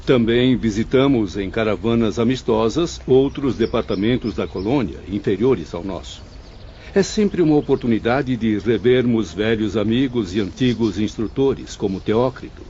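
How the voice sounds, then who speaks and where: A man acting a mellow, thoughtful spirit student. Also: on the low side, animated, natural voice. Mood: composed. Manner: formal, measured, earnest. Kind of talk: audiobook drama dialogue. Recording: dull lo-fi audio with faint noise.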